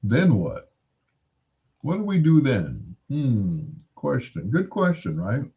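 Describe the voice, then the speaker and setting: A man's voice: medium pitch, wildly swooping pitch, takes it slowly, warm tone, slightly rough. An American man hosting in a casual, rambling monologue, musing aloud and posing rhetorical questions. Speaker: radio-style host.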